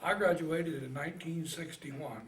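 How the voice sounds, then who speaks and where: An elderly American man with a Midwestern accent and a slightly high-set voice tells his life story in plain, halting, folksy speech. He sits away from the microphone in an echoey room, with faint noise around him. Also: a bit bright. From Indiana, United States. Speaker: Navy veteran being interviewed. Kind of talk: casual oral history recollection. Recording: roomy, distant mic, faint noise.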